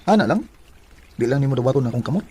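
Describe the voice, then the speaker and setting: Quick, mellow Filipino suitor. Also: male voice, medium pitch, expressive, breathy edge. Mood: friendly, earnest. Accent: Cebuano Filipino. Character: earnest, persistent bachelor coworker courting a woman. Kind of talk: Filipino radio drama acting.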